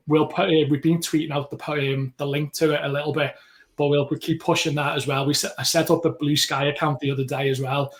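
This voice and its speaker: A man with a Liverpool accent, co-hosting a podcast in relaxed, rambling chat. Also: on the high side. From England. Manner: chatty, rambling with frequent restarts. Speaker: podcast co-host.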